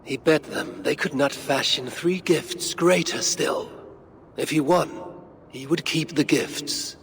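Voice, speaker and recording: A slow, gravelly Norse god. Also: male voice, on the high side, a crowd in the background. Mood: solemn storytelling. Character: grave, cunning male Norse god investigating in secret. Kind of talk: video game character acting.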